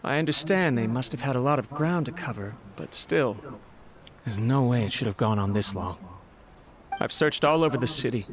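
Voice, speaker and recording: A man voicing a loyal, worried servant in a video game, earnest, deferential and quick to belittle himself. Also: medium pitch, crowd noise, lightly acted. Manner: earnest, self-deprecating. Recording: game music behind the voice. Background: game music.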